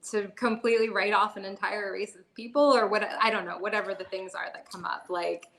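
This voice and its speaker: A woman's voice: medium pitch, steady tone, brisk, gravelly, a bit bright, rough recording. A woman who is a podcast guest, speaking in a reflective, casual way, with long winding sentences full of fillers.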